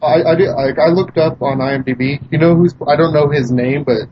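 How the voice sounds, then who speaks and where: A man's voice: a little high, a little fast, slightly rough. A young man co-hosting a podcast, speaking in a laid-back, casual way and correcting details. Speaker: podcast co-host. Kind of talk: casual chat. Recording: dull lo-fi home recording.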